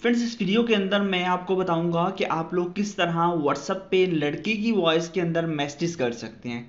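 Male tutorial host, fairly high voice, step-by-step instruction, slightly muffled lo-fi voice-over. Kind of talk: phone app tutorial voice-over.

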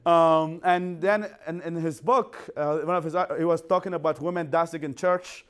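A man in his thirties from Iraq, an English speaker, giving an animated university lecture with frequent restarts, recorded in a classroom from a camera at some distance. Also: fairly high pitch, normal speed, smooth. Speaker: guest speaker.

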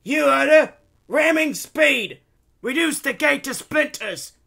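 A man voicing an excited engineer bellowing orders in a storybook adventure. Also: very high voice, takes it slowly, gravelly, voice pushed up, full character voice.

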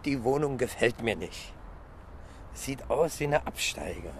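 Pedantic male detective, mid-pitched. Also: animated, a little slow, vocal fry, a little hiss or hum. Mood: matter-of-fact. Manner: precise, pedantic, matter-of-fact. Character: pedantic, fact-loving male police detective. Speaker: actor. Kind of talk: naturalistic German radio crime drama acting.

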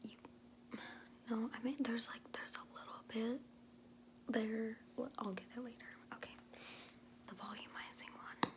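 Girl doing a snotty makeup artist asmr roleplay, young woman, all whispery and slow, super condescending n sassy. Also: a little high, fairly even intonation, close to an everyday voice. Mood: dismissive, sassy. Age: 20s. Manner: sassy, condescending, hesitant valley-girl phrasing with drawn-out words. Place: small indoor room at home, in front of a door.

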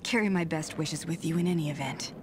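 A woman's voice: sits low, brisk, close to an everyday voice. A woman voicing a dejected, stoic, hardened caravan guard in a fantasy video game. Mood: weary, dejected. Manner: weary, stoic. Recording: noisy game capture with ambience.

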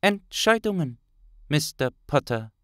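A man's voice: fairly high pitch, very animated, measured pace, a bit bright, clear, resonant tone, no echo, studio quality, pitched above the performer's own voice. Man acting a stern grandmaster, raised voice, solemn. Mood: stern, accusing. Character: stern, tall male grandmaster passing judgement. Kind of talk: dramatic audiobook reading.

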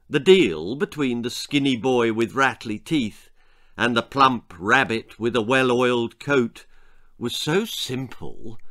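Slow, theatrical older storyteller. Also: male voice, mid-range voice, fairly bright tone. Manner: theatrical, emphatic. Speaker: author reading own story aloud. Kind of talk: dramatic children's storytelling. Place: small book-lined room at home.

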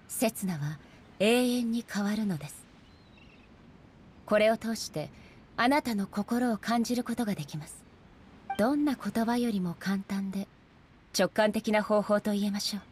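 A stern warrior ruler from a Japanese game, performed by a woman. Her voice sits at a middle pitch for a woman and is formal and solemn. She measures out each phrase with grave authority. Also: a little slow, long pauses, a little hiss or hum, natural voice. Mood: solemn, commanding. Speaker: video game voice actress. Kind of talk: Japanese game character voice acting.

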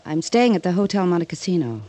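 A woman's voice: fairly low pitch, very animated, a little fast, dark tone. A coolly seductive radio-drama woman. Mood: coy, inviting. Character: flirtatious, daring adult woman fascinated by death. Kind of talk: 1950s radio drama acting.

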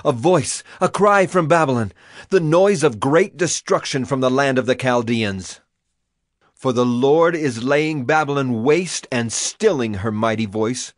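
A man's voice: medium pitch, a little slow, dry recording, studio quality, natural voice. A man playing a solemn prophet proclaiming divine wrath in a declamatory, dramatized scripture reading.

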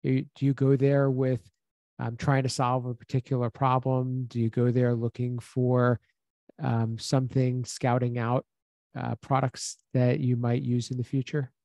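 A man in his forties with a mellow, warm voice at a middle pitch, co-hosting a relaxed podcast from a home office. He circles his questions with stops and restarts, speaking close into a boom mic that leaves the sound dry. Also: gravelly, no echo, lo-fi sound. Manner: halting, rambling questions with many restarts. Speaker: podcast co-host. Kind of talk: casual conversational podcast. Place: home office on a video call. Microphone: boom podcast mic close to mouth.

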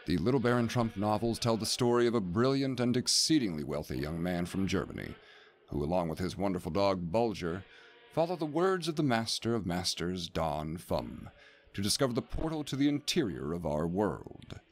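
A man's voice: sits low, lively intonation, moderate pace. A young man introducing a scripted radio show as its host, in announcer style. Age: young adult.

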